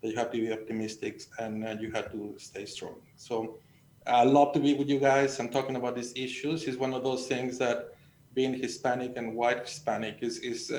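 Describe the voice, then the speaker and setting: Male panel guest, middle-aged, Mexican accent. Earnest, halting storyteller with narrow intonation, recorded in a roomy space. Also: a little mellow. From Sonora, Mexico. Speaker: panel guest, agricultural extension educator. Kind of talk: conversational personal storytelling. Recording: echoey room, faint noise and rumble.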